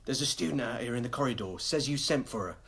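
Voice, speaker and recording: A college facilities manager in a radio comedy, British and blunt. He delivers deadpan remarks in a voice that moves freely up and down. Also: medium pitch, fast, creaky, slight background noise. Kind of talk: comic radio play acting.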